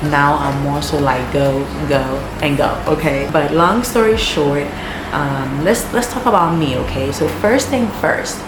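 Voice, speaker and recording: Ghanaian woman vlogging, low voice, keeps saying okay, roomy echo and loud ac hum. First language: Twi. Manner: chatty, keeps asking "okay?". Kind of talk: casual chat to camera. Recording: echoey room with loud air conditioning hum.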